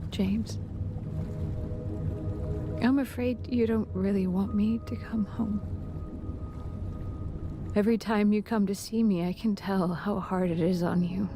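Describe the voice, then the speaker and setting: An actress plays a gentle, dying wife in a dramatic video game monologue. She reads her farewell letter very slowly, soft and halting, slipping toward a whisper. Music plays clearly behind her sorrowful, mid-pitched voice. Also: steady tone, close to an everyday voice. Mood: fearful sorrow. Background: game soundtrack music.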